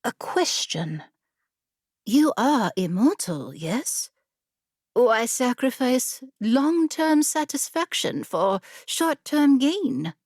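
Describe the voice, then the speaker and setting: A woman voicing a quick-witted female scholar bargaining earnestly and persuasively in a fantasy audiobook. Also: on the high side, big pitch swings, a little mellow, no echo, studio quality, full character voice. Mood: careful persuasion. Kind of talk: fantasy audiobook character acting.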